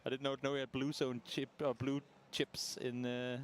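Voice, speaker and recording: A man's voice: mid-range voice, little pitch movement, normal speed, slight background noise. A man with a Danish accent giving live esports commentary in back-and-forth banter with a co-caster. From Denmark.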